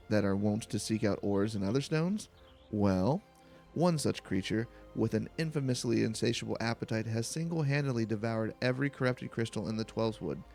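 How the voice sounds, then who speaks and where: Man playing a pedantic, creaky-voiced academic researcher. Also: on the low side, a little mellow. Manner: formal, pedantic, wordy. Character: polite, long-winded academic researcher in a fantasy game.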